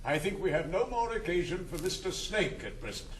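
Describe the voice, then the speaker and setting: An actor playing a steady, mediating family steward with a British accent in a 1960s stage comedy recording. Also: male voice, high voice, brisk. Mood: composed. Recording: 1960s studio record, faint hiss.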